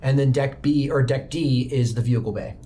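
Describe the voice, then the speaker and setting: A man's voice: mid-range voice, brisk, mellow. Male game master with faint room noise. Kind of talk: improvised narration of a tabletop game.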